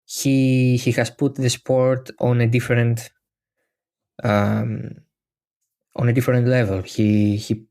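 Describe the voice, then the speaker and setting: A man's voice: lowish voice, darkish tone. A man with a Greek accent, a native Greek speaker, hosting a podcast in a casual, joking, self-deprecating way. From Greece. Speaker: podcast host.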